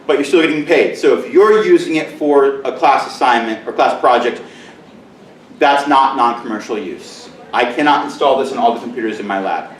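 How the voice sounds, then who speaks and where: A middle-aged male teacher giving a conference presentation in a loud, booming voice with joking asides, recorded from far off in a large room. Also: normal speed, reverberant, slight background noise. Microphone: camera mic from far off.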